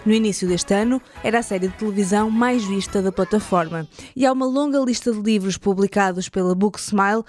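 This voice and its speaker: A woman's voice: medium pitch, a little fast, few pauses, darkish tone, faint music behind. A woman with a European Portuguese accent hosting a podcast, reading a scripted documentary narration. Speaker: podcast host.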